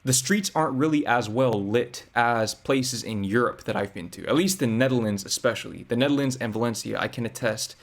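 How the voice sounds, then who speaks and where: A man's voice: mid-range voice, fairly quick, few pauses. A man talking through his thoughts as a reaction vlogger, reflective and conversational. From United States. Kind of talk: casual commentary.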